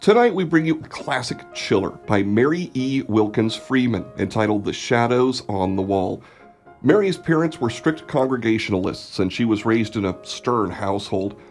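A man's voice: lowish voice, darkish tone. A man introducing a radio play as its announcer, reading scripted narration in a presentational style.

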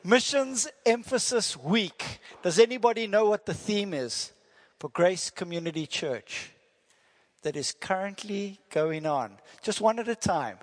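High-voiced South African preacher in echoey hall. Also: male voice, lively intonation, a little slow. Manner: friendly, jokey, asks the congregation questions. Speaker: visiting missionary preacher. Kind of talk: sermon with prayer. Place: church hall. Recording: church hall reverb.

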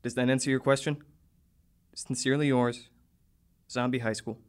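Male actor for a defiant teen narrator: wry, measured, mid-pitched, radio drama letter reading. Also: fairly even intonation, smooth, lightly acted. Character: wry teenage boy reciting a defiant letter.

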